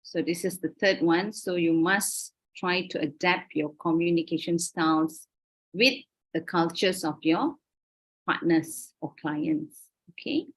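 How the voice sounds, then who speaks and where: A woman's voice: fairly low pitch, unhurried, low-quality recording. A Malaysian woman lecturing over a video call, explaining her points carefully and often checking in with "okay?". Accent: Malaysian English. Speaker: university lecturer. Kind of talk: online lecture.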